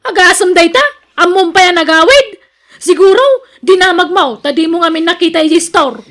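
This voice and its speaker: A woman's voice: extremely high pitch, normal speed, a bit bright. A woman with an Ilocano accent playing a jealous, nagging wife in a radio drama, accusing and sarcastic. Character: jealous, nagging, suspicious wife of a working man.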